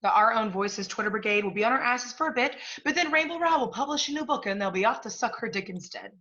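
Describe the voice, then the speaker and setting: An actress plays a domineering female literary agent in a one-act play, with a fairly high voice. She is blunt, profane and impatient. The recording is slightly dull and lo-fi. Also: expressive, fast talker, sharp tone. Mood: impatient, mocking.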